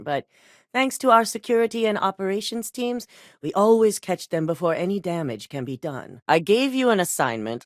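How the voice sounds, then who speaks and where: A middle-aged actress playing a commanding corporate CEO in a video game, curt and businesslike. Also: female voice, on the low side, dry recording. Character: cool, commanding woman CEO of a megacorporation. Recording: dry studio recording.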